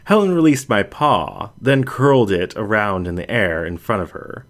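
A man's voice: fairly low pitch, animated, normal speed, a bit bright. A man reading a scripted first-person story aloud as an audiobook narrator.

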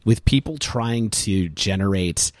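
A man's voice: fairly low pitch, unhurried, dry recording, clean studio sound. A man co-hosting a podcast, casually talking through data at length in a thoughtful way. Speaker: podcast co-host.

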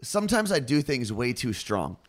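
A man's voice: mid-range voice, big pitch swings. A man co-hosting a casual podcast, asking joking questions and poking fun at himself. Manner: quick, joking, questioning and self-mocking. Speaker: podcast co-host.

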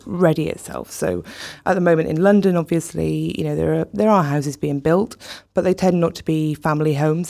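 A woman's voice: deep voice, vocal fry, mellow. A woman from South Wales, arguing her point firmly as a guest in a panel discussion. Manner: firm, argumentative.

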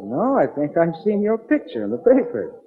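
A man's voice: sits high, wildly swooping pitch, moderate pace, exaggerated voice. An actor playing a nervous, evasive front man for a shady business in a 1940s radio crime drama, courteous but guarded. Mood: polite. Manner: guarded, defensive, outwardly courteous. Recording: tinny, noisy 1940s radio recording.

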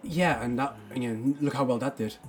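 A man's voice: mid-range voice, normal speed, soft background music. A man co-hosting a casual film review podcast, rambling enthusiastically with lots of fillers. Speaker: podcast co-host.

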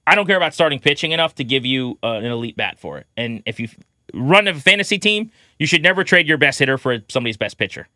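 A man's voice: mid-range voice, animated, quick pace, sharp tone, no echo. A man co-hosting a sports podcast, chatting casually about baseball in an opinionated, conversational way.

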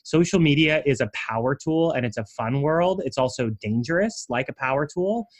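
A man who coaches people on branding, explaining his method in a conversational interview and speaking in an animated way with frequent casual asides. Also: fairly high pitch, moderate pace.

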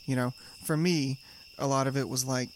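A man co-hosts a casual podcast, speaking in an easygoing, reflective way. He circles his points with restarts and small fillers, steering the conversation gently. A faint hiss runs under the recording. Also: mid-range voice, steady tone, normal speed. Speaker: podcast co-host.

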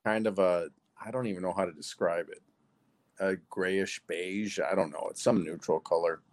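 Nasal low-voiced male guest. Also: fairly even intonation, moderate pace. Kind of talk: casual chat.

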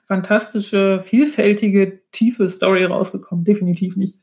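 A woman's voice: medium pitch, steady tone, moderate pace, darkish tone. A woman speaking as a guest author in a casual podcast conversation, explaining things in a reflective way.

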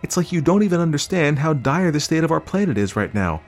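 Man doing an exasperated, high-pitched wife. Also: expressive, a little fast, a little mellow, soft background music, close to an everyday voice. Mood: defensive, pleading. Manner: indignant, raised pitch. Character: defiant, exasperated, environmentally minded wife.

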